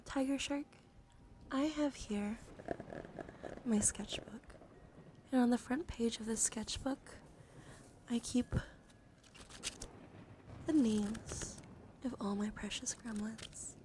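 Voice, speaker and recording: A woman hosting an ASMR livestream, chatting gently and soothingly to viewers in a hushed voice that often drops into whispers, slightly strained from just waking up. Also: a little high, a little hiss or hum.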